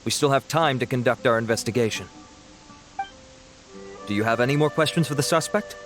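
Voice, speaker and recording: A man's voice: medium pitch, a little fast, lightly acted. Man doing a stiff formal officer giving a report, normal voice, light music behind. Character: adult male security officer, formal and businesslike. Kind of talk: game cutscene voice acting.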